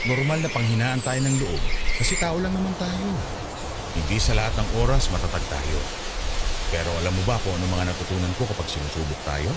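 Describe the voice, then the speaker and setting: A man's voice: on the low side, very noisy, close to an everyday voice. An actor playing a patient, hopeful middle-aged father in a radio drama, consoling his child in an earnest, reassuring way. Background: loud music.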